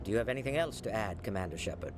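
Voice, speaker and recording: An actor playing a cool, condescending alien councilor in a video game, speaking formally and skeptically. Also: male voice, fairly low pitch, lively intonation, rapid-fire, noisy background, natural voice. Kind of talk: dramatic video game acting.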